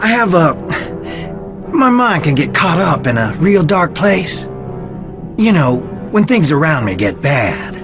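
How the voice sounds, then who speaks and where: A troubled young friend in a video game, short on sleep and guarded. The delivery is halting but moves up and down a lot, over clearly audible music. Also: female voice, sits low, sing-song, full character voice. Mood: weary, uneasy. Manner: halting, evasive. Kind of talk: video game character dialogue.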